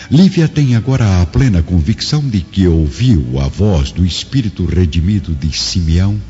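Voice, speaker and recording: Deep, mellow male dramatic narrator. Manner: solemn, theatrical. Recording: muffled, long reverb, music behind.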